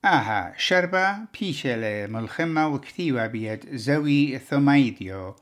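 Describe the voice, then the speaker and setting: A man's voice: mid-range voice, lively intonation, very fast. A man narrating a scripted radio feature as its presenter.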